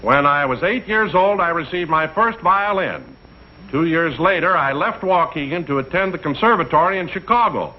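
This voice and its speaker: Guy playing jolly american announcer pal in old sitcom, hearty, a bit high, muffled sound. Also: expressive, moderate pace, close to an everyday voice. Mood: genial. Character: jovial, hearty male colleague of the star. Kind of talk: 1960s sitcom acting. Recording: dull vintage TV soundtrack.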